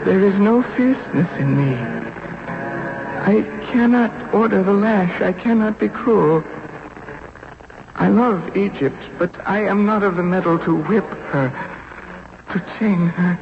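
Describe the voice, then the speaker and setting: An actor playing a gentle, anguished young pharaoh, speaking earnestly and pleadingly in a 1970s radio drama. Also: male voice, high voice, unhurried, gravelly, pauses often. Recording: dull old radio recording, music behind.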